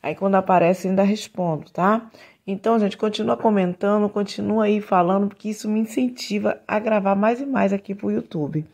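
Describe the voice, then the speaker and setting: Chatty woman vlogging from home, gravelly. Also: mid-range voice, moderate pace, darkish tone. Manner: chatty, repeatedly says "gente", talks to viewers while unboxing. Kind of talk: casual home vlog. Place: home kitchen under renovation, indoors.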